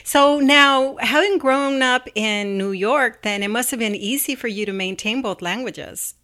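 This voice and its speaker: A woman's voice: a little high, unhurried, pushed and bright. A woman hosting an interview over a video call, asking questions with enthusiasm. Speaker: host. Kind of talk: interviewing a guest.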